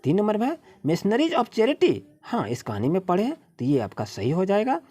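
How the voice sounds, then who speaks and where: An Indian-accented woman teaching young children, explaining textbook exercises in an instructive way and reading out English slowly, word by word. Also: medium pitch, huge pitch swings, mellow, husky. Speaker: primary school teacher.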